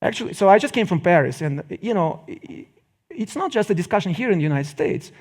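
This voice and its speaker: A middle-aged man with a Russian accent gives a public lecture, phrasing deliberately with pauses and wry asides. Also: high voice, very animated, a little mellow.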